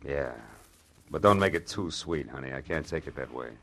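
A man's voice: extremely low pitch, moderate pace, a bit bright, full character voice. A man playing a sardonic, slangy private detective in a 1940s-style radio drama. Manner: sardonic, slangy, clipped wisecracking. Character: hard-boiled, wisecracking male private detective. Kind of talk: 1940s radio drama acting and first-person narration. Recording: old radio broadcast with music underneath.

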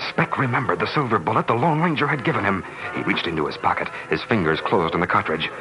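A man's voice: on the low side, animated, fast talker, poor audio. American guy narrating an old radio western serial, music playing behind him. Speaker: narrator and announcer. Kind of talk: 1940s-50s radio drama narration.

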